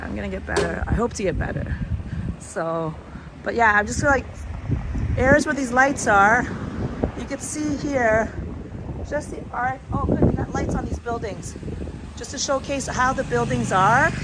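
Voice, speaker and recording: A woman with a raspy, hoarse voice from a sore throat, chatting as she live-streams a walk outdoors at night, holding a phone. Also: a little high. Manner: chatty, rambling, greets viewers. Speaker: travel vlogger. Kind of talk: live-stream walking vlog. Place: outdoors on a town street at night. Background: street and traffic noise.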